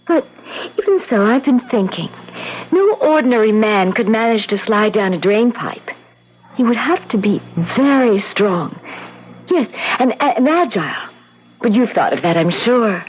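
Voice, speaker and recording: A spirited young fiancée with a fairly high voice. She is affectionate and clever, leaning theatrically on repeated words. The sound is a dull 1970s radio drama broadcast. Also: big pitch swings, a little slow. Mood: knowing, teasing.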